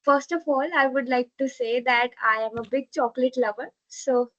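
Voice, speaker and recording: An earnest woman speaks steadily over a video call, giving an impromptu speech. Her voice is somewhat high. The call audio sounds dull and lo-fi. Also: fairly even intonation, moderate pace, fairly bright tone.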